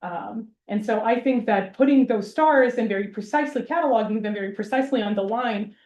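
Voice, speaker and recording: A woman's voice: fairly high pitch, a little fast, darkish tone. A woman from Serbia, a researcher answering audience questions informally, with a wry, self-deprecating manner. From Belgrade, Serbia. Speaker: academic researcher giving a public talk. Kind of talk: informal Q&A after a public lecture. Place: university lecture hall. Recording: roomy lecture hall acoustics.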